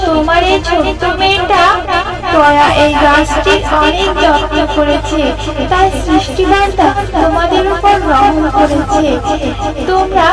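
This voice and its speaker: A woman's voice: extremely high pitch, unhurried, far from the mic, over loud music, over a noisy crowd, poor audio. A woman voicing a benevolent but stern magical talking tree in a children's cartoon, speaking in solemn pronouncements.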